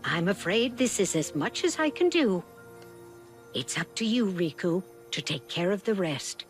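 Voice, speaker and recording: A woman playing a kindly, wise, motherly guide in a video game, measured and caring. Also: mid-range voice, sing-song, faint music behind. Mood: solemn.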